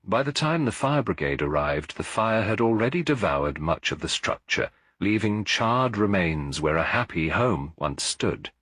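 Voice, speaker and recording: Male narrator for mystery audiobook: low, slightly husky, measured storytelling. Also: sing-song, fairly bright tone, dry recording.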